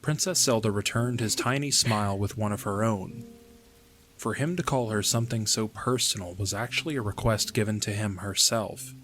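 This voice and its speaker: A man's voice: on the low side, moderate pace, a bit bright, soft background music. A man reading scripted third-person narration for a fantasy audio drama.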